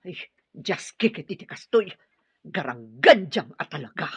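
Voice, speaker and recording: Strict radio drama matriarch, suspicious, snobbish, husky voice, hugely swooping intonation, exclamatory. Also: female voice, low voice, sing-song, measured pace, no room sound, exaggerated voice. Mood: irritated, scolding. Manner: haughty, scolding, exclamatory. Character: strict, suspicious, class-conscious mother of a grown son.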